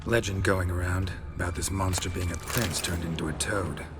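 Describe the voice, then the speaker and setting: A man playing a gruff, stoic monster hunter in a video game, with a low gravelly voice and clipped, deadpan delivery. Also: moderate pace, loud music behind the voice, lightly acted. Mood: gruff, matter-of-fact.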